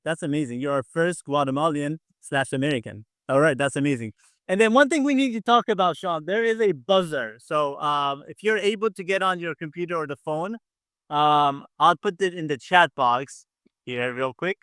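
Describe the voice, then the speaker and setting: A man's voice: a little high, moderate pace, fairly bright tone, no room sound. A man casually hosting a quiz game on a video call, encouraging and often repeating himself. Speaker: quiz show host.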